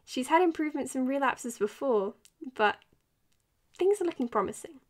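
A woman's voice: high-pitched, animated, normal speed. An actress playing a caring, tired woman doctor in a naturalistic audio drama, speaking earnestly and hesitantly. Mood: tired, hopeful. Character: anxious, caring, tired young female doctor. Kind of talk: naturalistic audio drama, confessional podcast narration.

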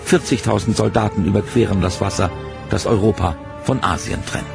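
A man giving scripted, measured documentary narration in a dramatic storytelling manner, over a music bed. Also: fairly low pitch, creaky. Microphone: studio voice-over mic.

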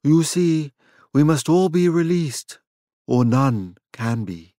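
A calm, deliberate male leader with quiet menace, acted by a man narrating. He speaks formally and with measured weight in a dark audiobook story, pitched a little up. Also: dry recording, studio quality. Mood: calm, assured.

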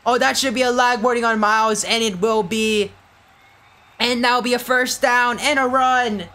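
A tired young man doing casual, rambling play-by-play commentary for an online game league, getting excited on big plays. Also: very high-pitched, moderate pace.

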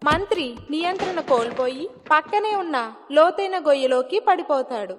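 A woman's voice: high-pitched, lively intonation, normal speed, background noise. A woman giving scripted narration of a moral story as its narrator. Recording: long hall-like reverb, music behind.

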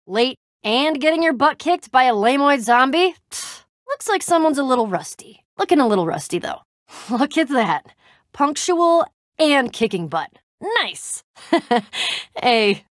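Casting: tough, loyal young female game warrior; high, very animated voice, sarcastic banter, dry close recording. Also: no room sound. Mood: teasing, reproachful. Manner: cocky, sarcastic, slangy banter. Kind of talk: animated video game character acting.

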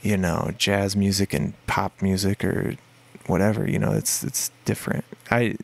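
A man with a deep voice, a musician guest in a casual podcast interview, talking in a rambling way with many fillers and restarts. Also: flat delivery, normal speed, creaky. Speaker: guest musician, singer and guitarist.

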